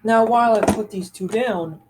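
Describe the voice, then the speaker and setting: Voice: mid-range voice, normal speed, noisy background. A host casually showing and reviewing items from a collection, talking informally and with enthusiasm. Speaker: host of a gadget collection video. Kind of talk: casual show-and-tell product review.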